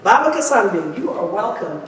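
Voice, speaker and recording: A woman's voice: medium pitch, big pitch swings, normal speed. A woman hosting a podcast, introducing and interviewing with an emphatic delivery that repeats phrases for effect. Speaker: podcast host. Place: indoor podcast studio. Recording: echoey, reverberant room, distant mic, faint noise.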